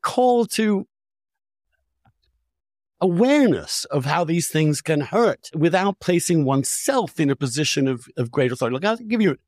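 A man's voice: a little high, very animated, normal speed, darkish tone, no room sound, studio quality. A man talking candidly and with strong opinions, articulate and emphatic, often restarting his phrases. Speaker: podcast host.

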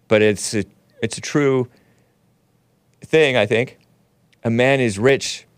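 A man's voice: medium pitch, clear, resonant tone, no room sound, studio quality. Nasal man hosting a rambling talk show. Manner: rambling, laughs often, chats with the live chat. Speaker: show host. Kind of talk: casual opinionated livestream talk.

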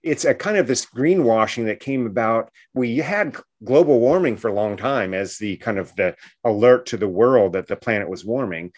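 A man's voice: medium pitch, expressive, normal speed, hardly any pauses. A man speaking as an expert guest in a radio interview, explaining things earnestly and often checking in with a short questioning tag.

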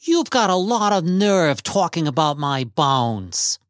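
Seeking an old immigrant wife: sharp tongue, Yiddish-American lilt, high animated delivery, storybook narration. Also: male voice, slow, dry recording, clean studio sound. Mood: indignant, sarcastic. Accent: Yiddish-inflected American English. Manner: querulous, rhetorical questioning.

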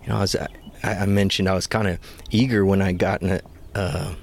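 A man in his thirties from the Pacific Northwest, a former Marine, telling stories in an easygoing, soft-spoken way. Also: low voice, level tone, a little fast, gravelly. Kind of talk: casual interview chat. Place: front seat of a parked van, door open, rain.

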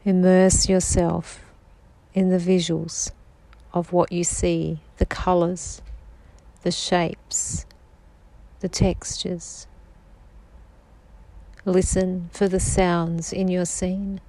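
A woman guiding a sleep meditation in a slow, soft-spoken, soothing manner. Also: on the low side, little pitch movement, low-quality recording. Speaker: meditation guide.

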